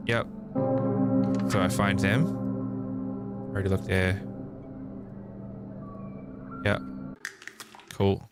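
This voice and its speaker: A man with a fairly low voice reads a horror visual novel aloud as a game streamer. He slips casual, playful asides into the story reading. Loud game music plays behind him. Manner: playful asides, sung filler syllables.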